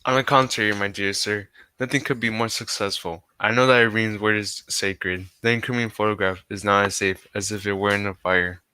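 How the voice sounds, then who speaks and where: A man playing a bright, regal king. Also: fairly low pitch, steady tone, brisk, few pauses, clean tone. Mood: grateful, grand. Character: grand, grateful, impatient King of Bohemia. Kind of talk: adaptation of a 1940s radio drama.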